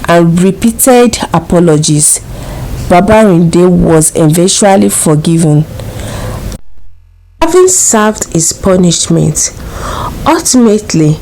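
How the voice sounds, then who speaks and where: A woman with a Nigerian accent narrating a scripted folktale in a measured, formal storybook manner. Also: mid-range voice, dark tone, slight background noise, rough recording.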